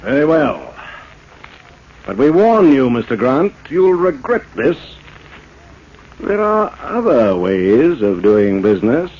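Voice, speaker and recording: A man playing a smooth-talking, menacing businessman villain in a 1940s radio play, formal and insinuating. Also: mid-range voice, big pitch swings, slow, pauses often. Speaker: radio drama actor. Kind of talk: 1940s radio drama acting. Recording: dull, noisy old radio broadcast.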